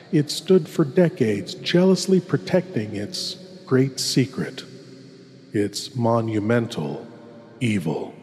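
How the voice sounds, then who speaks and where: Man playing a slow, sinister horror host in cavernous reverb. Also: fairly low pitch. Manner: slow, hushed, ominous, ornate phrasing. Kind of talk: theatrical scripted horror introduction.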